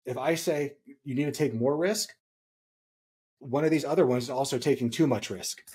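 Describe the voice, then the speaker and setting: A man in his thirties with a slightly husky voice, co-hosting a podcast and explaining points emphatically, repeating key phrases for stress. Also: mid-range voice, normal speed, a little mellow. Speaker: podcast co-host. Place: small home room, indoors.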